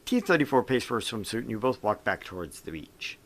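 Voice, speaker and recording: A man's voice: on the low side, sing-song, brisk. A man hosting a casual visual novel playthrough, reading text aloud and joking around in a goofy way. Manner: goofy, jokey, switches between reading and banter. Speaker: gaming show host and reader.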